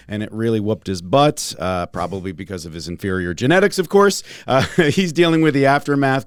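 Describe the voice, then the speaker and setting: A man hosting a podcast in a casual, jokey, self-deprecating way, his voice slightly raspy and congested. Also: mid-range voice, no room sound, studio quality. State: congested from a respiratory illness. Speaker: podcast host, holistic pharmacist.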